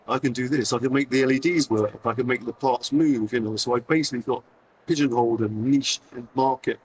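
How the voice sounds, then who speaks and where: A middle-aged man whose voice is going hoarse speaks to a convention audience in a loose, self-deprecating way, full of fillers and restarts. He sits a little back from the mic, with a faint hum of the hall around him. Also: medium pitch, recorded from a distance. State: hoarse, losing his voice. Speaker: conference speaker. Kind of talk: live talk to an audience.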